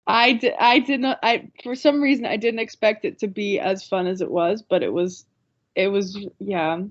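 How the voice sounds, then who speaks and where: A woman's voice: mid-range voice, fairly even intonation, moderate pace. A woman answering casually as an interview guest, earnest and often repeating her phrases. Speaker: panel guest, pantomime board member. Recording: dull, lo-fi audio.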